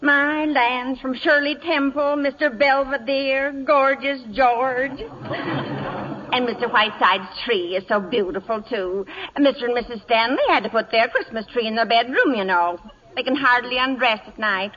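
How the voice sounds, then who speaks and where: A woman playing an excitable, chatty household servant in a folksy, wide-eyed 1940s radio comedy manner. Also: a little high, moderate pace, a crowd in the background, natural voice. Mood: chatty awe. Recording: muffled, echoing old radio broadcast.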